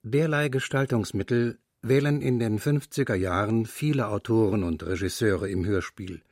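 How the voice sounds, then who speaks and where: A man narrating a radio documentary script with a crisp, clipped delivery into a close, dry studio mic. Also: on the low side, normal speed, a little mellow, dry recording.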